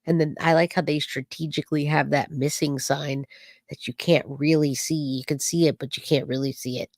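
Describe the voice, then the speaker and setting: A woman's voice: low-pitched, steady tone, normal speed, darkish tone, no room sound. Skeptical woman streamer, dry close mic. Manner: probing, skeptical, many rhetorical questions. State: voice slightly off from dust and allergies. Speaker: true-crime streamer. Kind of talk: live-stream commentary to chat.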